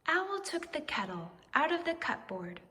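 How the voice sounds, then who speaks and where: Woman reading a kids book out loud, slow and dramatic, long echoey reverb. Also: sits high, very animated. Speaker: storybook reader. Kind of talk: expressive children's read-aloud narration.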